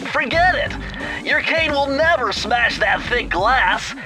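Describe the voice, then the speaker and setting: A bookish young male turtle from a cartoon game, very high-pitched and nasal. He explains things in a precise, pedantic, wordy way, cautious by temper. Music plays clearly behind him. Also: animated, slow, heavily acted, low-quality recording. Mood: instructive. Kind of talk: video game cartoon voice acting.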